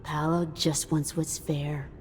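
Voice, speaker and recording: A woman's voice: low-pitched, little pitch movement, takes it slowly, darkish tone, slight background noise. A woman voicing a menacing gang enforcer who collects protection money, in a video game. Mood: threatening. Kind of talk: video game character dialogue. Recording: long hall reverb, faint music.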